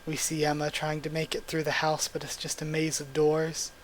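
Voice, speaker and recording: Hoarse young man, chatty and excitable. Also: fairly high pitch, moderate pace, a little hiss or hum. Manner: chatty, excitable, thinks out loud. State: hoarse, voice cracking. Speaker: channel host reading manga aloud. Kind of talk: casual readthrough with commentary. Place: small room at home. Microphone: gaming headset mic.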